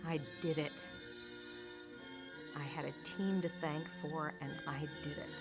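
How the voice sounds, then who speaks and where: A woman's voice: sits low, fairly even intonation, slow pace, over loud music. A woman, a Paralympic skier, tells her own story in a documentary interview, speaking candidly and often breaking into laughter mid-sentence.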